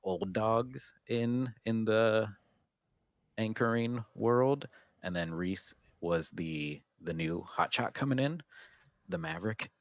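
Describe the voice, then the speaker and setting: A man with a deep voice talks casually as a podcast co-host. The sound is dry and close to the microphone. He rambles in a halting way, letting thoughts trail off and picking them up again. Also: no echo. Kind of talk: casual unscripted TV review chat.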